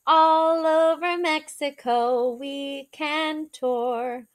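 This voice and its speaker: A young woman reading a children's picture book aloud in an animated, drawn-out, singsong way, like a teacher talking to small children. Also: high-pitched, soft background music. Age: young adult. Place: small living room, seated in an armchair indoors.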